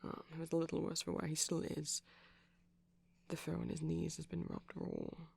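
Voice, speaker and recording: A man speaking softly and breathily in an ASMR boyfriend roleplay as a shy, gentle vampire, hesitant and often slipping into whispers. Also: a little high, completely flat delivery, normal speed, gravelly, breathy edge. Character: shy, gentle pastel vampire boyfriend showing off plush toys.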